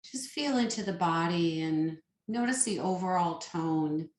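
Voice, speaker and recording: Slow-paced woman guiding meditation in a roomy space. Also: lowish voice, lively intonation, slight background noise. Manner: soothing, unhurried, pausing between short phrases. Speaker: yoga nidra meditation teacher. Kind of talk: guided meditation. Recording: roomy, echoing sound.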